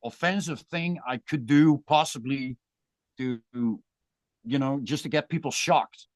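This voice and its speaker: A man's voice: medium pitch, takes it slowly, no room sound. A man with a Dutch accent, a guest musician talking casually and thoughtfully in an interview. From Netherlands. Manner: thoughtful, rambling with restarts.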